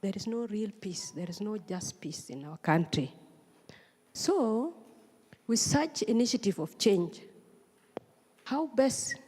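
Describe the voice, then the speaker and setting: A woman from South Sudan, an audience member, asks a panel an earnest, pleading question with pauses and fillers. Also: medium pitch, mellow. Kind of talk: spontaneous public question at a forum. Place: large conference hall. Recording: long reverb of a conference hall.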